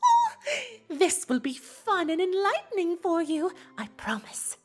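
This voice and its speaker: A woman's voice: very high voice, wildly swooping pitch, a little slow, soft background music, full character voice. A woman voicing an elegant, teasing female pony in a dramatized story reading, with an affected, refined delivery. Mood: playful, eager.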